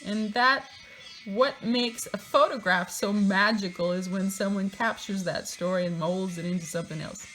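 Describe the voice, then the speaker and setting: A woman's voice: medium pitch, moderate pace, fairly bright tone, faint music behind, poor audio. A woman reading a book aloud in a theatrical, mocking way, breaking into casual, sardonic asides of her own.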